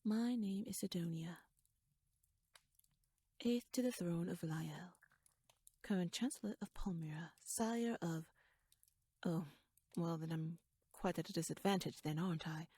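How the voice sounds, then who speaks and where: A woman playing a shrewd, teasing royal noblewoman in an ASMR audio roleplay, speaking softly in a wry, courtly, measured way that slips toward a whisper. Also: fairly low pitch, breathy edge, dry recording. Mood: teasing, poised.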